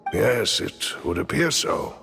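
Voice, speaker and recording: Gravelly, dutiful retainer. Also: male voice, lowish voice, very animated, slow pace, bright, forceful tone, faint music behind, exaggerated voice. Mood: concerned. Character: gruff, dutiful male retainer to a young lady. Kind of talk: video game voice acting. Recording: long hall reverb, faint ambient noise.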